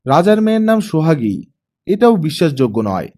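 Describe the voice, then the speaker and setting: A skeptical father, voiced by a male reader, measured and pedantic in an audiobook. He explains in a mellow voice, matter-of-fact and a touch dismissive. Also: on the high side, sing-song. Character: skeptical, matter-of-fact father, a pipe-smoking older gentleman. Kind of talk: audiobook character reading.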